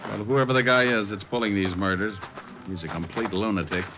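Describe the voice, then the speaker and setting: An American actor playing a breezy, cocky private detective in old radio drama style, sardonic and full of wisecracks. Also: male voice, on the low side, fairly even intonation, a little fast, close to an everyday voice. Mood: wry, serious. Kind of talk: 1940s radio drama acting. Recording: noisy, dull old radio recording.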